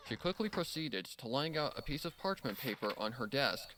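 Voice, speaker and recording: Bright, animated male story narrator. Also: mid-range voice, moderate pace, a little hiss or hum.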